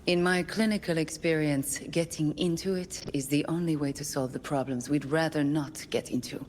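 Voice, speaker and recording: A woman playing a composed, probing therapist in a space colony for a game audio log, speaking in a calm, clinical, measured way. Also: low-pitched, in a large hall, slight background noise, lightly acted. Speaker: doctor, therapist.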